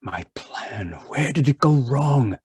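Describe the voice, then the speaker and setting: A man's voice: mid-range voice, lively intonation, slow pace, breathy edge, heavily acted. An actor playing a guilt-ridden, domineering male chemist in an old-time radio horror play, swinging between haunted muttering and clipped, imperious speech. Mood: haunted, brooding.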